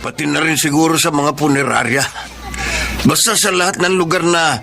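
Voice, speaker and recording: A man's voice: on the high side, moderate pace, creaky, over music. An actor with a Filipino accent playing a concerned, sensible male neighbour, earnest and matter-of-fact, in a radio drama. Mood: reassuring.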